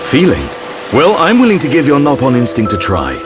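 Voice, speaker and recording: A man's voice: medium pitch, huge pitch swings, a little fast, over loud music. A man playing a protective adult warrior and older brother in a video game cutscene. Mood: calm, wry.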